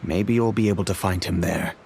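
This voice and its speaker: An actor playing a remorseful middle-aged merchant father in a video game, speaking in a measured, regretful way. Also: low voice, gravelly, a little mellow, slight background noise. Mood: helpful.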